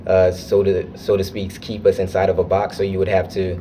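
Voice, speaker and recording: A man's voice: deep voice, monotone, mellow, a little hiss or hum. A young man from Florida, a student club officer, answering interview questions earnestly with frequent restarts. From United States (Florida). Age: young adult. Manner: earnest, halting with restarts and fillers.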